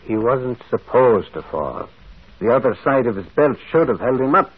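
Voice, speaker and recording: A male actor playing a gentle, shrewd priest detective in a radio mystery, musing and hesitant, often repeating words. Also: medium pitch, expressive, normal speed, husky. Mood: shrewd, explaining. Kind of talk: vintage radio mystery acting. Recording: dull, muffled old radio recording.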